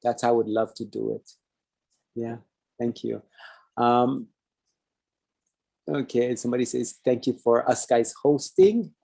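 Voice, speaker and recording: Pidgin-accented hawaiian guy hosting, mellow dark voice, rambly and chatty, reads out the comments. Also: medium pitch, fairly even intonation, measured pace, vocal fry, long pauses. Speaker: talk show host. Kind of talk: casual live-stream sign-off chat.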